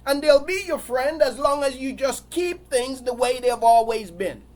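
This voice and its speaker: A man's voice: extremely high pitch, expressive, a little slow, a little mellow. A man preaching a sermon while he has a cold and sniffles, repeating his phrases in a building, emphatic cadence.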